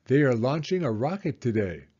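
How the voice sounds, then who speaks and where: A man's voice: medium pitch, big pitch swings, normal speed, warm tone, husky, dull audio. A man voicing a dramatic, easily astonished town mayor in a children's storybook, with emphatic delivery. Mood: informative.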